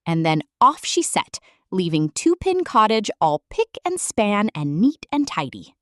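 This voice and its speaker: A woman reads a children's storybook aloud. Her delivery is theatrical, with wide swings in pitch at a middling register. The sound is dry and close. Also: big pitch swings, a little slow, dry recording. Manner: theatrical, addressing the listener with questions.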